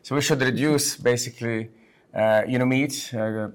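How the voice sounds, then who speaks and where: A man from Lebanon hosting a conversational medical podcast as a physician, speaking in halting, emphatic bursts. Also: medium pitch, steady tone, clean tone. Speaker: podcast host, physician and section head.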